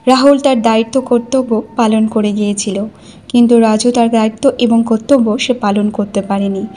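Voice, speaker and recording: A woman's voice: fairly high pitch, steady tone, normal speed, mellow, a little hiss or hum. A woman narrating a scripted cartoon story.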